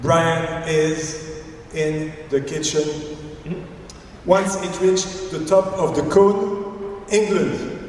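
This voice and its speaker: A man's voice: fairly high pitch, steady tone, drawn-out words, pauses often, slight background noise. A man whose first language is French, a poet and French teacher, reading poems aloud at a live event in a church. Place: large echoey church hall. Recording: echoey church hall, distant mic.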